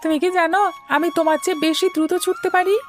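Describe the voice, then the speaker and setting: A woman's voice: very high voice, flat delivery, quick pace. A woman voicing a small, innocent child in a cartoon story, with a plaintive, questioning way of talking. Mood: innocent, curious. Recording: music behind the voice.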